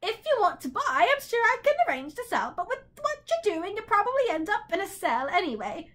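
A woman's voice: extremely high pitch, very animated, fairly quick, fairly bright tone, voice pushed up, heavily acted. A woman voicing a malicious, haughty, unkempt male bird in a storybook, shrieking and cackling as he talks. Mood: sly, brisk.

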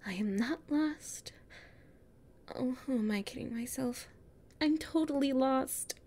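A woman's voice: high voice, slow, a little mellow, pitched above the performer's own voice. A woman playing a flustered, stubborn young human heroine in an audio roleplay, muttering breathily to herself.

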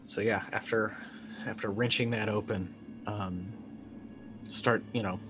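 A man in his forties playing a tabletop game on a video call, casually and haltingly thinking aloud about his character's moves. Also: on the low side, steady tone, music in the background, rough recording.

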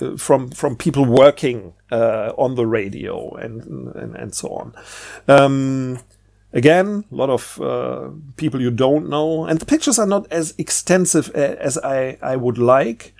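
A man's voice: medium pitch, normal speed, gravelly, darkish tone. A man hosting a casual, unscripted book review, talking in a hesitant, rambling way with repeated words. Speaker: book review video host.

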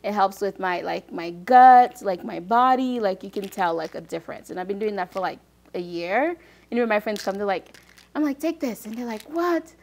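A woman in her thirties from Haiti, co-hosting a casual talk show from a studio sofa, talking in a chatty, animated way with lots of "like". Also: medium pitch, normal speed. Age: 30s. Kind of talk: casual chat. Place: TV studio set, seated on sofa.

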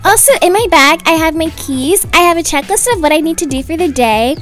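A young woman tells a roleplay story as a brisk, slightly exasperated mom. Her voice is very high and moves up and down in a lively way, with music underneath. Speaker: storyteller voicing a roleplay video. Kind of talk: animated scripted roleplay narration in everyday voice.

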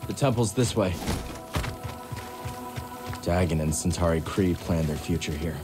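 An actor playing an earnest, troubled young warrior in a video game, speaking in a subdued, serious way. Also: male voice, low-pitched, measured pace. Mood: somber, earnest. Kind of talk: cinematic video game acting. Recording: music behind the voice.

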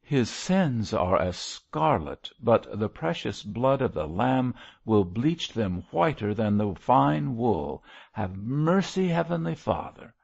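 Guy playing stern old religious zealot in an audiobook, declamatory, slow, big swoops in pitch. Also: big pitch swings, creaky, a little mellow, lo-fi sound, full character voice. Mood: fervent exhortation. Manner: solemn, exhorting, declamatory prayer. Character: wary old male zealot missionary, stern, fervently pious. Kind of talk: audiobook character voice.

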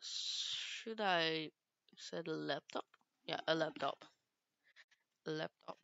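Casting note: teen girl, low and unhurried, halting English, smooth dry close-mic sound. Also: pushed and bright, no echo, lo-fi sound. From Belgium. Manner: halting, searches for English words. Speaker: gaming youtuber. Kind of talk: casual gameplay commentary.

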